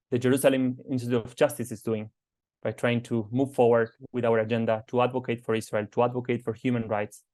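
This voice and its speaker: A man with a foreign accent sharing a personal story on a conference call, earnest and halting, with many fillers. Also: medium pitch, a little mellow. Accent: non-native English. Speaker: advocacy team member. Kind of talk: personal testimony on a webinar. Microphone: conference call microphone.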